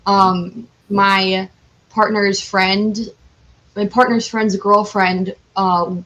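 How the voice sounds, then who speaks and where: A woman's voice: medium pitch, flat delivery, drawn-out words, fairly bright tone, low-quality recording. A woman chatting casually as a podcast guest, with an enthusiastic, giggly and informal way of talking. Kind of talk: casual interview chat.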